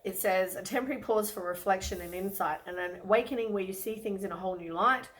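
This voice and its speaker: Croaky woman giving a tarot reading. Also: mid-range voice, quick pace, rough recording. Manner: relaxed, rambling, many ums and hedges. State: croaky from a cold. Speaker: tarot reader.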